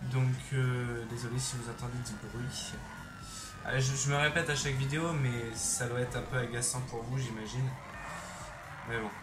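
A young man chatting casually over his gameplay on a headset mic, excitable and throwing in English exclamations. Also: mid-range voice, moderate pace. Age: young adult. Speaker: gaming YouTuber. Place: bedroom. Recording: roomy sound with game music behind.

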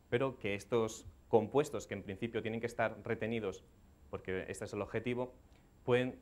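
A man in his thirties answers questions after his conference talk, speaking rapidly through a headset mic. His voice sits slightly low, and he restarts phrases as he works through technical points. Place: conference hall, at a lectern.

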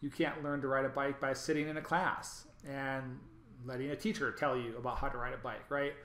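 A man's voice: medium pitch, level tone, fairly quick, bright, forceful tone, a little hiss or hum. Man lecturing over slides in a roomy space. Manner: explanatory, frequent ums and self-corrections. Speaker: presenter of an online training.

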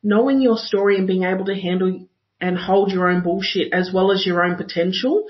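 A woman's voice: medium pitch, fairly quick. A woman speaking earnestly as a guest on a panel conversation. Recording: dull lo-fi audio.

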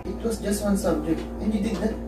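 A woman's voice: medium pitch, a little fast, natural voice. Stern father played by a woman, echoey room. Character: stern, demanding father of three. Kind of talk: amateur one-act melodrama acting. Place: living room, far camera mic. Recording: echoey room, distant mic, music over it.

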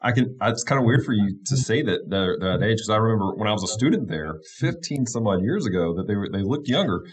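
A man in his thirties, a pastor hosting a casual chat show, upbeat and quick to laugh, close to a studio mic in a small wood-paneled room. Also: fairly low pitch, lively intonation, breathy edge. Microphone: studio mic on boom arm, close.

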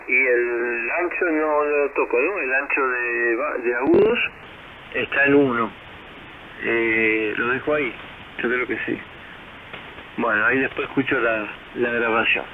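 A man's voice: mid-range voice, steady tone, unhurried. A man, a ham radio operator thinking aloud hesitantly over a shortwave transmission. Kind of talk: casual radio chat while testing audio settings. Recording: narrow tinny shortwave radio, faint hiss.

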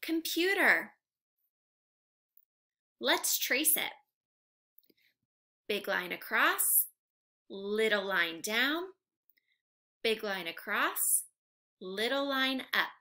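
A young woman reading aloud to small children like a teacher, asking simple questions in an animated, carefully enunciated way. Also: a little high. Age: young adult. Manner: animated, exaggerated, enunciated for little learners. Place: living room at home, indoors.

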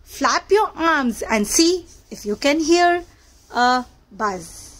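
A woman's voice: high voice, expressive, takes it slowly, fairly bright tone. A woman narrating a children's read-aloud book in a teacherly manner, addressing young listeners directly.

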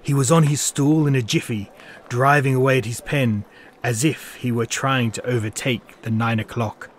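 A male narrator tells a story in a dramatised storybook style. His voice sits at a middle pitch, with faint ambient noise behind it. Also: steady tone, normal speed.

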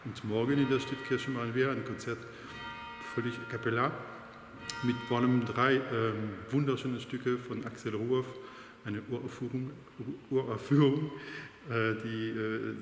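A man's voice: medium pitch, steady tone, normal speed, soft background music. A middle-aged man from France speaking with a French accent, a choir director giving hesitant interview answers with many fillers. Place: large church with organ, indoors. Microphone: unseen mic, framed chest-up. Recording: echoey church with long reverb.

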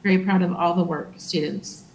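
A woman's voice: lowish voice, steady tone, darkish tone, a little hiss or hum. A woman school librarian presenting student awards remotely at a school board meeting, reading names with some hesitation. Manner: reads names haltingly with fillers.

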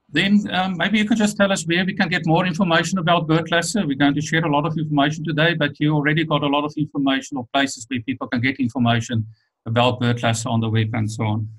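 A man's voice: on the high side, fairly even intonation, brisk, a bit bright, low-quality recording. A man hosting an online webinar, thanking his guest and introducing the next part with fillers. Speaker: webinar host. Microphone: online call.

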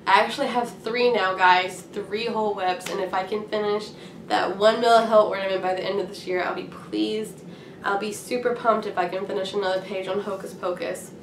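A young woman with a cold-roughened, hoarse voice rambles along in a casual chat, filling pauses with ums. She sits some way back from the camera in a small room at home, so her voice sounds distant and roomy. A faint hiss runs under her words. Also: medium pitch, steady tone. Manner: rambling and chatty, many ums. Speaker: craft vlogger.

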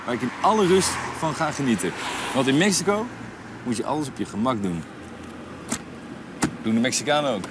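A man in his thirties hosting a travel show outdoors, talking to camera in a relaxed, enthusiastic and chatty way. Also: mid-range voice, a little fast, long pauses, very noisy. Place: outdoors on a mountain road and in a tent. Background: background music.

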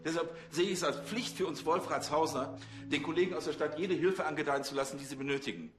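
A man's voice: on the high side, fast, music in the background, lightly acted. A man playing a fussy, self-important provincial police chief who speechifies pompously and hesitantly in a TV drama. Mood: solemn, pompous.

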